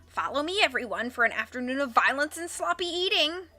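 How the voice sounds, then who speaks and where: A woman's voice: high voice, sing-song, fairly quick, pushed and bright, faint music behind, pitched above the performer's own voice, full character voice. A woman reading the lines of a boastful, commanding villain count in a storybook. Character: scheming theatrical villain count, man.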